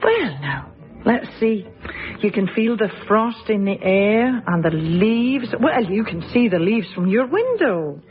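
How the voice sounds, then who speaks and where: A woman's voice: mid-range voice, sing-song, unhurried, muffled recording, soft background music. A woman playing a cheerful, reassuring schoolteacher. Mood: gentle. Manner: gentle and reassuring, with easy laughs. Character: warm, cheerful female schoolteacher visiting a pupil. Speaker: teacher. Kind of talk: radio drama acting.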